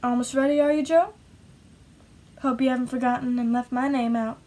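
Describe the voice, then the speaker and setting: A woman's voice: high voice, steady tone, brisk, mellow. A woman playing a bitter, folksy small-town sister who nags and accuses, in an amateur play acted over video call. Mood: mocking. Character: bitter, defiant small-town woman nagging her brother. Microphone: video call microphone.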